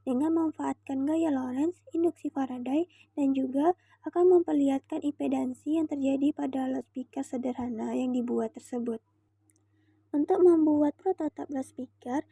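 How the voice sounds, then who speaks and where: Young woman, student presenter; high, very dark-soft timbre, formal scripted explainer delivery, dry recording. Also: dry recording. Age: young adult.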